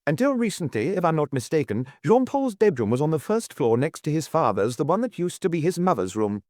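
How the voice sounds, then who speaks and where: A man's voice: a little high, very animated, fairly quick, darkish tone, dry recording, clean studio sound, voice pushed up. A man voicing a solemn examining magistrate in an audiobook, putting short, pointed, formal questions. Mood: pressing.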